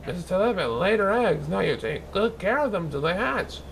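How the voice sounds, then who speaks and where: A man voicing an excitable, childlike cartoon sea sponge, eagerly reading a children's storybook aloud and stumbling over words. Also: high-pitched, moderate pace, vocal fry, slight background noise, heavily acted, rough recording. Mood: bubbly storytelling.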